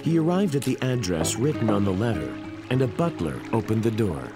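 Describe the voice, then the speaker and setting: Male narrator for children's bedtime tale: lowish, animated delivery, loud music bed. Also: brisk. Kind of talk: scripted children's story narration. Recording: prominent music behind the voice.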